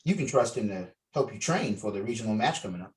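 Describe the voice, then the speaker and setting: A man's voice: on the low side, animated, quick pace, darkish tone, pitched below the performer's own voice, close to an everyday voice. A man playing a fatherly martial arts master talking to his student in a script read. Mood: reassuring. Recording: distant mic on a video call.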